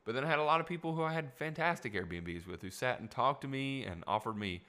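A man's voice: medium pitch, animated, fast talker, bright, forceful tone. A young man hosting a solo podcast, rambling with restarts and asides, his voice getting tired. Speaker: podcast host; music teacher. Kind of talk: casual solo podcast talk.

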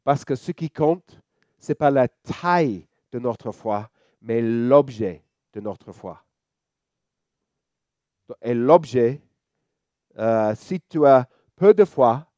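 Slow, mellow Australian man preaching. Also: mid-range voice, no room sound, dull audio. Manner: relaxed, joking, halting with many fillers and restarts. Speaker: church elder preaching. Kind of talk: sermon.

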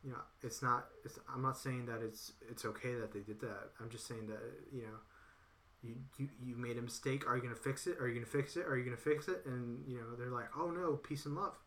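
A man's voice: mid-range voice, fairly even intonation, brisk, a bit bright, slight background noise. A man in casual political chat, rambling and repeating himself with lots of "you know". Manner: acts out others in quoted voices.

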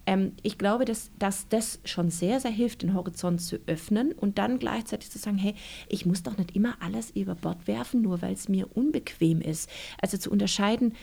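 A woman author speaking in a casual interview, with a gravelly, creaky edge to her voice. She thinks aloud with many fillers and restarts and grows emphatic when she makes a point. Her pitch sits in a middle range. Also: normal speed, warm tone. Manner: reflective, with frequent fillers and restarts.

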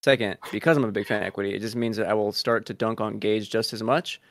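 A man's voice: on the low side, steady tone, fast talker, no echo, clean studio sound. A man co-hosting a podcast, joking and teasing his friends in casual chat. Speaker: podcast co-host.

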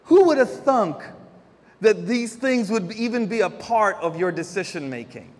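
A man preaching, his voice sitting notably high. He talks to the congregation in a loose, chatty way, full of everyday stories and stumbling restarts. The sound carries a long reverb, as in a large church hall. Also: animated, a little slow. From Philippines. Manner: conversational preaching with homely stories and frequent restarts. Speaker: pastor. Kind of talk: sermon.

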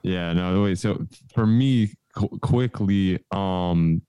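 A man's voice: low-pitched, slow pace, dark tone, clear, resonant tone, lo-fi sound. A man co-hosting a podcast, chatty and self-mocking. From New York. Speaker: podcast co-host. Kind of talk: casual interview chat.

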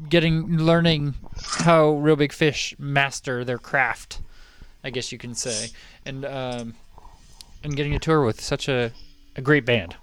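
A man's voice: mid-range voice, fairly even intonation, unhurried. A man hosting a podcast, easygoing and laughing often as he steers the chat toward the band. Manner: easygoing, laughs a lot, keeps the show moving. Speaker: podcast host. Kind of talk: casual chat introducing a band.

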